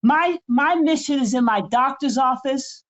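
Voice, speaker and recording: A woman in her seventies with a high voice speaks with fiery, emphatic conviction, rising into a preaching cadence. Her audio is dull and lo-fi, like a remote call. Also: measured pace. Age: 70s. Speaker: co-host. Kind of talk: impassioned spiritual podcast talk.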